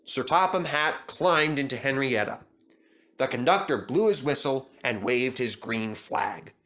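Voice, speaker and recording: A man's voice: mid-range voice, a little slow, fairly bright tone. A man narrating a children's story from a script as a storybook reader.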